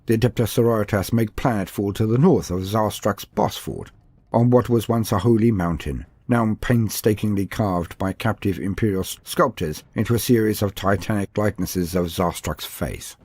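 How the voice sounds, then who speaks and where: A man's voice: on the low side, moderate pace, darkish tone, no echo. A man reading a scripted, dramatic narration of fantasy war lore as a storyteller.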